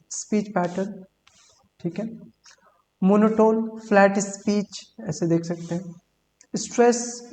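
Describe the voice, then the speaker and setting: Woman, vocabulary teacher, mellow voice, slow deliberate English examples, long reverb with faint hum. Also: medium pitch. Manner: reads English examples slowly and deliberately, explains in Hindi; repeats words and says "ठीक है" often. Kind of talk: Hindi-English vocabulary lesson.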